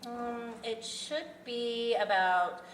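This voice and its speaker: A formal, evasive female serial killer runs her meeting like a business as acted by a woman at a script table read. Her delivery is halting and businesslike. The sound comes from across an echoey room with faint noise. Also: on the high side, fairly even intonation, far from the mic. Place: indoor festival venue table read.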